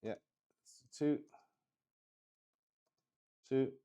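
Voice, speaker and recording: A man narrating a solo tabletop roleplaying game as he plays, thinking aloud and speaking haltingly. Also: warm tone. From Luxembourg.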